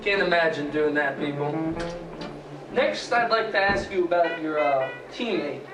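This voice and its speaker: A young man playing a polite, admiring radio interviewer who asks questions haltingly in a sketch. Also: fairly high pitch, animated. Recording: roomy distant mic under music. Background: instrumental music.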